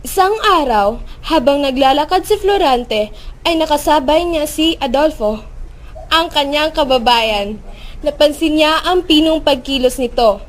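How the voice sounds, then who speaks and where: A woman's voice: high-pitched, animated, a little slow. A woman with a Filipino accent reading scripted narration for a radio drama.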